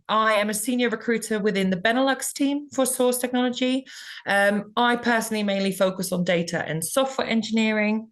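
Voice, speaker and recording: A woman recruiter hosting a webinar over a video call, friendly and with many fillers. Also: mid-range voice.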